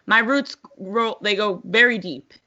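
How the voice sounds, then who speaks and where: A woman's voice: medium pitch, measured pace, pushed and bright, highs cut off. An American woman, a sports broadcaster being interviewed, telling her story in an articulate, positive, conversational way. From New Jersey, United States.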